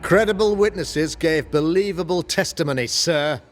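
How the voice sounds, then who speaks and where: An actor playing a pompous, self-righteous colonial governor and preacher, speaking in formal, oratorical period language in a video game. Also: male voice, a little high, moderate pace, faint music behind, close to an everyday voice. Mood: defensive. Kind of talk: theatrical period drama sermonizing.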